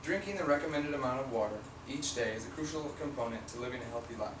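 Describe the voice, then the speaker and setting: A young man with a raspy voice giving an informative class speech, reading from notes with fillers and restarts. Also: mid-range voice, lively intonation, quick pace. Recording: echoey room, mic far off, faint hum.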